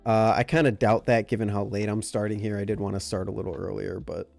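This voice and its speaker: A man's voice: lowish voice, quick pace, vocal fry, faint music behind. A young man with a stuffy, nasal voice from a cold, chatting casually with live viewers as a stream host. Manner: relaxed, greeting chat by name. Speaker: livestream host.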